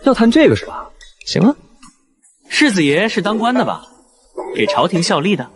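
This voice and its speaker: A young man playing a sarcastic, argumentative commoner who lectures his betters in an animated web drama. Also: high voice, normal speed. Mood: sarcastic, challenging.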